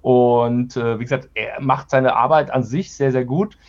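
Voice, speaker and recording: A man answering interview questions casually, picking his words carefully and haltingly as a producer guest. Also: mid-range voice, steady tone. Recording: dull, lo-fi remote sound.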